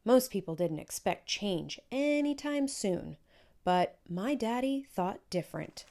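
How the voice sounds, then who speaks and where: A woman's voice: mid-range voice, expressive, a little slow, dark tone. A woman narrating a children's storybook in a scripted read-aloud.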